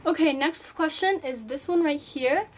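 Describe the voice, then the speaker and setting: An eighteen-year-old woman from Japan, her voice sitting a little high, chats through viewer questions from her bedroom. She talks loosely and rambles. She drops "like" into nearly every sentence. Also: moderate pace. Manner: chatty, rambling, fills with "like" often. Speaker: vlogger answering viewer questions. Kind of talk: casual Q&A vlog. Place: her own room at home.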